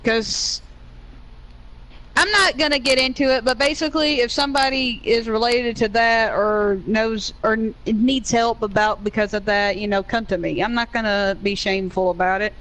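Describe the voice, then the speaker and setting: A woman's voice: fairly high pitch, fairly even intonation, moderate pace, fairly bright tone, rough recording. A young woman with a Southern US accent, chatting earnestly while playing a game with a friend, rambling with many restarts. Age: young adult. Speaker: co-player on a gaming stream.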